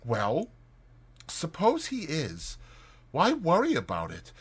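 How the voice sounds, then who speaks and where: A man's voice: a little high, wildly swooping pitch, takes it slowly, breathy edge, pitched above the performer's own voice, heavily acted. A man voicing an easygoing male mole in a dramatized storybook, talking lightly and reassuringly. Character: easygoing, unworried male mole, kindly animal friend. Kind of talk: dramatized storybook character reading.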